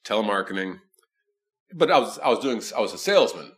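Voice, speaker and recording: A man with a deep voice chatting casually, telling self-deprecating stories with frequent stammering restarts. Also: expressive, fast, fairly bright tone. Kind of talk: casual conversation.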